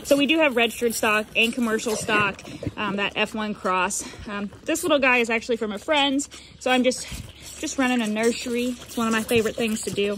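High-voiced young woman farmer, phone vlog. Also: fairly quick. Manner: chatty, talks to the camera while doing chores. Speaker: farmer and vlogger. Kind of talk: casual vlog narration. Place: outdoors on a cattle farm. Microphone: handheld phone camera. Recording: outdoor phone audio with farm noise.

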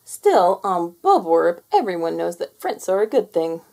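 A woman's voice: on the low side, very animated, a little slow, a little mellow. A woman reading a children's picture book aloud as a storyteller.

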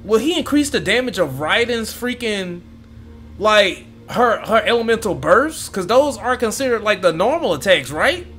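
An excitable young man gives casual reaction commentary on a game, his voice high and full of swoops. He sounds bright and keen, swearing often and speaking straight to his viewers. Faint game music runs under him. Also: moderate pace. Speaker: gaming YouTuber. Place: home room with gaming chair.

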